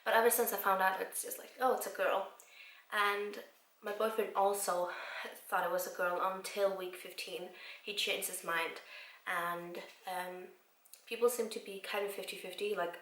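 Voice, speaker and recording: Young woman from Iceland, twenties, casual vlog chatter, slight rasp from a cold, mid pitch, roomy sound. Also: steady tone, moderate pace, fairly bright tone. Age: 20s. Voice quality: slightly raspy. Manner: chatty, many fillers and "like". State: recovering from a cold. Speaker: vlogger. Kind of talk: casual chat to camera. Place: home room.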